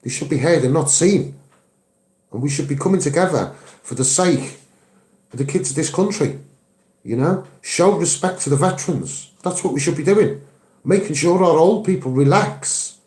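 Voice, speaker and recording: Scouse man, mellow higher-pitched voice, campaigning. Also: moderate pace, reverberant. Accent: Liverpool (Scouse). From Liverpool, England. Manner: earnest, emphatic, repeating phrases for stress. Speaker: activist and online video maker. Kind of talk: impassioned campaigning monologue to camera.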